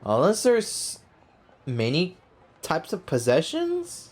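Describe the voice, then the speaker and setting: A woman's voice: low voice, huge pitch swings, takes it slowly, husky. A woman giving casual, slangy and sympathetic reaction commentary over gameplay as a let's play host. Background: soft game music.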